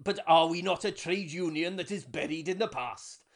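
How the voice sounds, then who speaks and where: A man playing a pompous Welsh trade union leader giving a grand, booming speech in a comedy sketch. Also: normal speed, close to an everyday voice. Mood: grandiose. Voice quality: booming, grandiose rally oratory.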